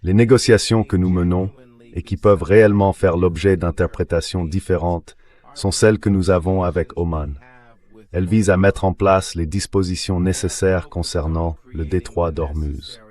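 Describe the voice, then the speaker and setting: A man's voice: low voice, fairly even intonation, normal speed, darkish tone, lightly acted. A man's voice reading the translated statement of a government spokesman as a voice-over.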